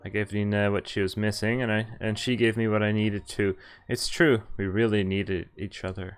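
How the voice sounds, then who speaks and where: A man's voice: fairly low pitch, flat delivery, normal speed, smooth. A man narrating visual novel text aloud as a game streamer, occasionally stumbling over words as he reads. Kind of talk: read-aloud story narration. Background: game music.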